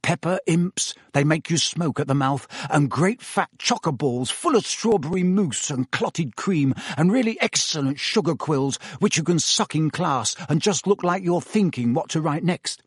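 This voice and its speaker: A man voicing an offhand, easily excited teenage boy in an audiobook. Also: on the high side, moderate pace, husky, no echo, clean studio sound. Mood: dreamy. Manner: offhand, dreamy and spirited. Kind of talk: audiobook character voice.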